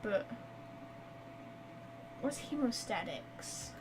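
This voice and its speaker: A woman's voice: mid-range voice, expressive, drawn-out words. A woman streaming a game, chatting casually and reacting aloud as she plays. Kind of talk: casual gameplay commentary. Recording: faint background noise.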